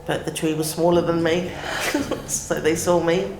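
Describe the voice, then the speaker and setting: A woman's voice: fairly low pitch, fairly even intonation, measured pace. A woman casually recalling her experience as an interviewee, recorded in an echoey room. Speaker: mental health service user interviewee. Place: plain indoor room. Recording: echoey room, faint noise.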